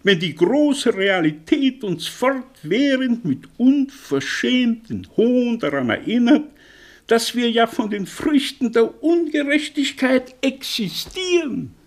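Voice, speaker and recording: An actor playing a cynical, eloquent middle-aged professor in a mid-century radio play, with a theatrical, sardonic delivery. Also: male voice, extremely high pitch, full character voice. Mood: sardonic, declamatory.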